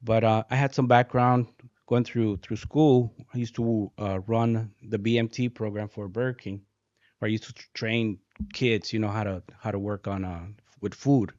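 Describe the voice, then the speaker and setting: A man from Mexico in his forties, a guest engineer speaking softly and hesitantly, with many fillers. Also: on the low side, fairly even intonation, moderate pace, no room sound. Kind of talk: casual interview talk. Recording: dull dry podcast audio.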